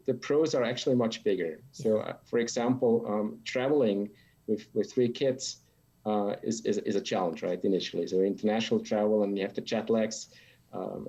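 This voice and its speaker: A middle-aged man from Switzerland answering casually as an interview guest, halting and repeating words as he thinks. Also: lowish voice, warm tone, slightly rough. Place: home office that doubles as playroom. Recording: dull, lo-fi video call audio.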